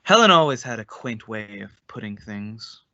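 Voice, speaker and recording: An actor playing an unhinged, quietly menacing man in an old-time radio suspense drama, measured and sardonic, in slightly dull sound. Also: lowish voice, big pitch swings, no echo, heavily acted. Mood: bitter, sardonic.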